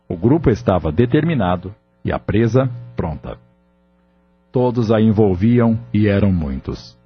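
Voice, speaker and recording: Low-voiced man narrating an audio novel. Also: husky. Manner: measured, solemn storytelling. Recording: dull lo-fi audio with faint music.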